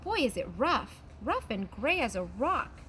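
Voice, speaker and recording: A woman's voice: a little high, very animated, unhurried, bright, forceful tone, voice pushed up, heavily acted. A woman voicing an eager, adventurous little girl in a children's storybook, outdoors with faint noise. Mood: insistent, exclaiming. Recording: background noise, outdoor ambience.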